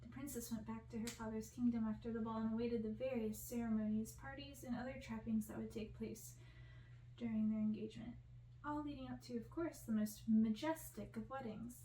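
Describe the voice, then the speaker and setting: A woman with a fairly high voice reads a storybook for an ASMR audience. The recording is strongly echoey and a little distant, with faint noise behind her. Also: steady tone, brisk, warm tone. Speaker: audiobook-style reader for ASMR channel. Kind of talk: ASMR storybook reading, scripted narration.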